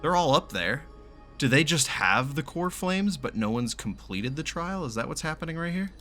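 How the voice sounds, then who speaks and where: A man's voice: on the high side, big pitch swings, moderate pace, bright, forceful tone. A man giving casual, blunt, sweary commentary as he reacts to a video game. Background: game music faintly.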